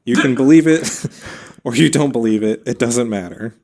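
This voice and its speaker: A man hosting a podcast, reading scripted history narration in a measured storytelling way with casual asides. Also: mid-range voice, darkish tone. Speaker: podcast host.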